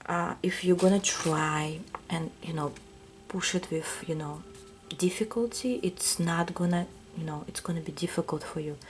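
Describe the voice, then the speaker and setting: A woman with a Russian accent, giving a soft, hesitant tarot reading that often drops to a whisper. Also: on the low side, normal speed, slight background noise. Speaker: tarot reader. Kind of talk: intuitive reading to viewers.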